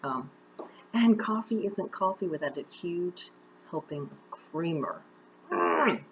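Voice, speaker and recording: Woman, drama teacher with a low-pitched voice, instructing step by step in a conversational way; slight background noise. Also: expressive, takes it slowly, low-quality recording. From United States. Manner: conversational, encouraging step-by-step instruction with direct questions. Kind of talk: instructional video mini-lesson.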